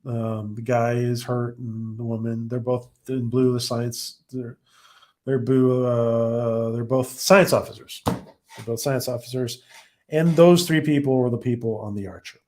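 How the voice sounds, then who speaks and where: A man's voice: mid-range voice, fairly even intonation, measured pace, a little mellow. A man hosting a casual live stream, rambling with many fillers and restarts while his voice starts to go. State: losing his voice. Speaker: live-stream host reviewing a TV episode. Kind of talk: casual live-stream chat reading viewer comments.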